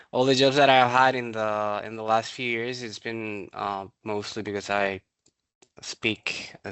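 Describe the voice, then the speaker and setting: A young man from Nicaragua, Spanish his first language, speaks in English in a podcast interview, searching for words with frequent ums and restarts. His voice sits low but sounds bright and crisp. The recording is dry and close. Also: no room sound. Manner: hesitant, many ums and restarts. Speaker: student services coordinator. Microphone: podcast microphone.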